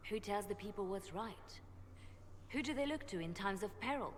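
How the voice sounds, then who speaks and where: Woman playing serious churchy adviser lady, fantasy game, measured and earnest, big hall reverb, faint noise. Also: mid-range voice, animated, natural voice. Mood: earnest resolve. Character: grave, thoughtful female church insider and adviser. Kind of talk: scripted fantasy game dialogue. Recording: long hall reverb, faint noisy ambience.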